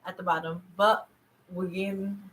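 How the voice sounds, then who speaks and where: A woman's voice: fairly low pitch, little pitch movement, normal speed, a bit bright, slight background noise, low-quality recording. A woman co-hosting a podcast in casual, playful, informal chat. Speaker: podcast co-host.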